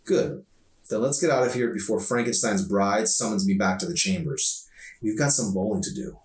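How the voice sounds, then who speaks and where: A man's voice: lowish voice, animated, moderate pace, natural voice. A man voicing a confident, playful, sarcastic teenage girl in an audiobook reading. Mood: teasing, upbeat. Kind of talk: audiobook dialogue. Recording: echoey room, distant mic.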